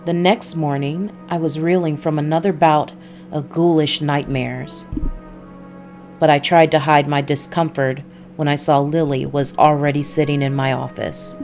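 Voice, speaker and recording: A brooding, hard-boiled detective read by a woman narrates a noir game story. The voice is low and measured, with music behind it. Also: fairly even intonation, a little mellow. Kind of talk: noir visual novel narration.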